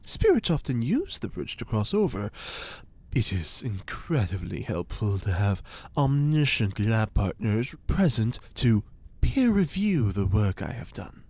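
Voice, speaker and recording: A man's voice: lowish voice, very animated, unhurried, a little mellow, exaggerated voice. A man playing a pompous, theatrical occult scientist. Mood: grandiose. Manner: grandiose, theatrical, lecturing. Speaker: reporter's informant. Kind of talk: audio drama acting.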